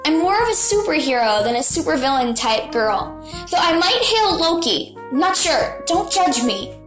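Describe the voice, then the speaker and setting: A woman with a very high, animated voice gives casual answers. The sound is roomy and echoey, with music clearly behind. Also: moderate pace. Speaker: cast member answering questions.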